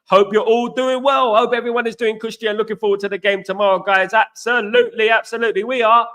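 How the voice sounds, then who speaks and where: A man's voice: high voice, steady tone, quick pace, bright, forceful tone. A man from England hosting a casual livestream, chatty and greeting viewers by name, often repeating himself. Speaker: host.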